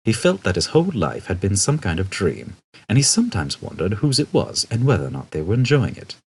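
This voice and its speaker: A man's voice: lowish voice, wildly swooping pitch, fairly quick, slightly rough. A man reading a comic novel aloud as a scripted storybook narration. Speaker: audiobook narrator.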